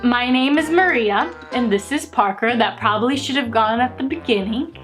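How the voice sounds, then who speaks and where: A woman's voice: on the high side, moderate pace, fairly bright tone, faint music behind. A woman from Romania hosting a video channel, chatting casually and teasingly with her partner. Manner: playful, teasing.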